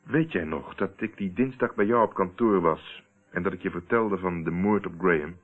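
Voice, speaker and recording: An actor playing a steady, probing man who confronts a murderer in a radio play, speaking in a deliberate, controlled, accusing way. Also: deep voice. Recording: tinny, narrow-band old radio recording.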